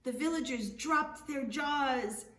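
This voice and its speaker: A woman reading a storybook aloud expressively, with deliberate, dramatic phrasing and drawn-out words. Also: sits high, fairly bright tone. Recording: echoey room, distant mic, faint noise.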